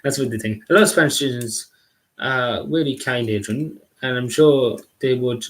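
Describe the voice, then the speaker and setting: Hesitant Derry-accented young man streaming. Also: medium pitch. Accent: Derry, Northern Irish. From Northern Ireland. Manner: halting, rambling, apologetic, full of restarts. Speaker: livestreamer. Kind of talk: casual live-stream chat with viewers.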